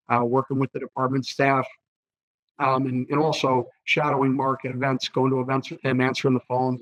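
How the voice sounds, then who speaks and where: Hoarse thirty-year-old man. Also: mid-range voice, dry recording, lo-fi sound. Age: 30s. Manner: earnest, repeats words with many fillers. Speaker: political candidate. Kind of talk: interview answers.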